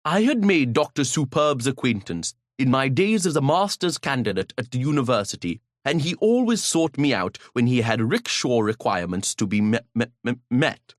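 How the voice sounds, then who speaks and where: A man reads an audiobook in the first person with a pompous, grandiloquent air. His voice sits at an ordinary pitch but swings freely up and down, and now and then he trips into a stutter. The recording is close and dry. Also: very animated, moderate pace, hardly any pauses, no echo. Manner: occasional stutter. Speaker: audiobook narrator.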